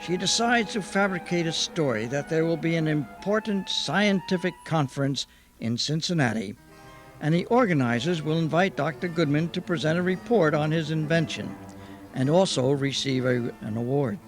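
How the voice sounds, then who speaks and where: A man's voice: a little high, animated, normal speed, soft background music. A man giving scripted narration as the narrator of an old-time radio drama.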